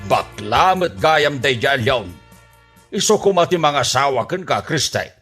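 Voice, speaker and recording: A man's voice: on the high side, animated, a little slow, over music. A man with a Filipino accent acting a scornful, blustering old father in a comedy radio drama, full of exclamations. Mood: dismissive.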